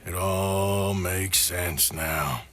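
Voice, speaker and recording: An actor playing a sick, weary outlaw cowboy in a video game, with an American accent and a drawling, accusing intensity. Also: male voice, low voice, fairly even intonation, drawn-out words, fairly bright tone, heavily acted. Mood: grave. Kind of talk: dramatic video game cutscene acting.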